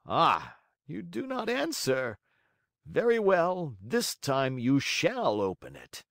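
A man's voice: fairly high pitch, huge pitch swings, takes it slowly, vocal fry, fairly bright tone, no echo, studio quality, pitched above the performer's own voice, exaggerated voice. A man voicing two cruel male assassins who speak in growling, threatening taunts. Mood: menacing mocking.

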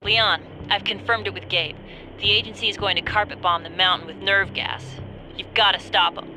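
A woman playing a brisk, wry mission-support agent in a video game. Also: mid-range voice, steady tone, bright, forceful tone, rough recording. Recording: faint music behind.

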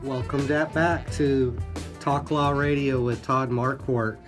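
A man's voice: mid-range voice, steady tone, slow, music in the background. A man co-hosting a radio talk show in a conversational style, summing up and asking guests questions. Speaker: radio co-host. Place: small radio studio. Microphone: studio microphone.

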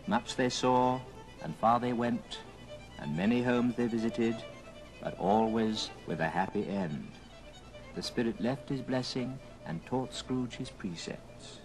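A man narrating an old radio drama as a dramatic storyteller, with theatrical, deliberate phrasing. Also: mid-range voice, close to an everyday voice, poor audio. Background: music.

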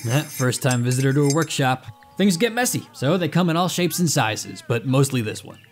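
A man's voice: mid-range voice, animated, normal speed, faint music behind, natural voice. An actor playing an eccentric, rambling male inventor in an audio drama, with flippant, wordy showman patter. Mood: flippant, welcoming.

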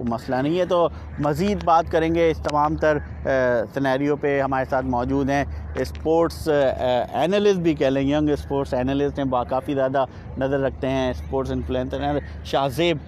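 A man in his thirties reporting live from outside a stadium and interviewing people, speaking haltingly with frequent filler pauses into a handheld mic. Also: mid-range voice, fairly even intonation, a little mellow, slight background noise. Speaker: sports reporter. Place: outdoors by a stadium.

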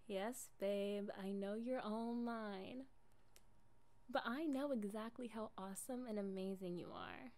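A woman playing a slow-talking, affectionate jealous girlfriend. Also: medium pitch, darkish tone, close to an everyday voice. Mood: tender, admiring. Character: affectionate, jealous young girlfriend, defensive and doting. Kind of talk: improvised ASMR girlfriend roleplay.

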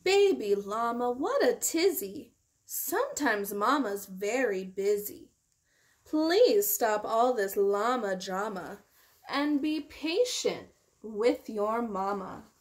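Storybook mama llama, read by a woman: patient, loving but firm, slightly high voice, very slow measured delivery. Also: lively intonation. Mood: gently scolding. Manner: chiding yet loving, measured. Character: gentle, patient mother llama soothing her child. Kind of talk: picture-book character read aloud.

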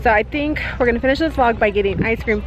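A woman's voice: a little high, fast talker, low-quality recording. A young woman vlogging casually to a handheld camera, chatty with lots of fillers and restarts as she walks around a city. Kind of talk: casual vlog chat. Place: outdoors in a city at night and by the water. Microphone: handheld camera at arm's length.

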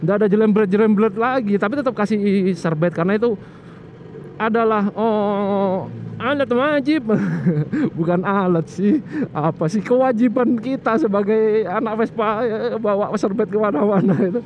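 A man's voice: sits high, moderate pace. A man casually explaining a scooter maintenance trick outdoors, rambling and often restarting or correcting himself. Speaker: motovlogger. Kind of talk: casual how-to vlog chat. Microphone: action camera. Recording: muffled action-cam audio with street noise.

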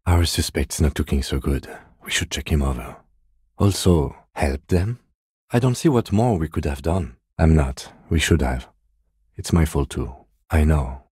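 A man playing a calm, composed middle-aged police detective in a video game, speaking in a measured, restrained and precise way. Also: a bass voice, slightly rough, no room sound, studio quality, exaggerated voice. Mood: sober, focused. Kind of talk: video game voice acting, understated dialogue.

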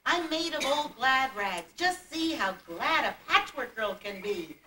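A woman's voice: high voice, expressive, a little slow, bright, forceful tone, full character voice. A woman playing a giddy, nonsensical rag-doll girl in a children's puppet play, playful and full of exclamation. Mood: gleeful. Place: puppet show stage in a convention room. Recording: echoey, noisy distant camcorder recording.

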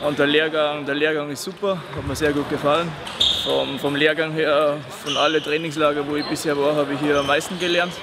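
A young man from Austria talks to camera in a sports hall, earnest and measured. His voice is slightly high, with loud hall noise behind it. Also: little pitch movement. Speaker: camp participant. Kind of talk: interview testimonial.

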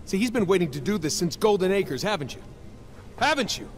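Cocky, high-pitched superhero. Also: male voice, sing-song, a little fast, slight background noise. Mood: sarcastic. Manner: sarcastic, needling. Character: cocky, defensive male armored superhero, middle-aged. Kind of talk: cinematic video game acting.

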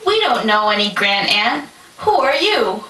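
Woman playing a very high, singsong little girl. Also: big pitch swings, a little slow, bright, forceful tone, heavily acted. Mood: wary. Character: wary, obedient little girl, an elder sister. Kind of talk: puppet show stage acting. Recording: distant echoey room, faint noise.

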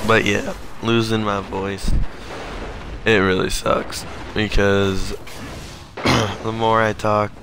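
A man with a hoarse, strained voice that keeps cracking, clearing his throat often as he talks casually over gameplay footage. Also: lowish voice, little pitch movement, extremely slow, pauses often, noisy background. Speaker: gaming channel host.

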